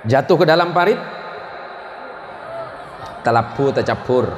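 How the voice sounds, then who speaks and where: A man's voice: medium pitch, animated, unhurried. A man preaching to a live audience with a Madurese-tinged Indonesian accent. He jokes with the crowd, quizzes them and mixes in Madurese and Javanese words. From West Kalimantan, Indonesia. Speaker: Islamic preacher. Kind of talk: humorous sermon to a live audience. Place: large event space with an audience. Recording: echoey venue with faint noise.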